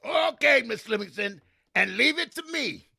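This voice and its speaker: A man doing a gravelly, high-voiced wisecracking valet. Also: animated, moderate pace, sharp tone, heavily acted. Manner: drawling, gravelly comic delivery. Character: wisecracking male valet, loyal and sly. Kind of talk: 1950s radio comedy acting.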